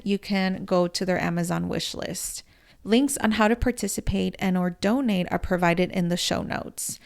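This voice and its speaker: A woman's voice: medium pitch, gravelly. Earnest woman podcast host. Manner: earnest, mixes Spanish words into English. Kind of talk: scripted intro then casual interview.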